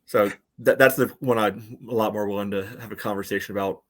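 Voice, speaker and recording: A man's voice: on the low side, fairly even intonation, fast talker, fairly bright tone. An American man co-hosting a soccer podcast, talking through a match in casual, conversational analysis.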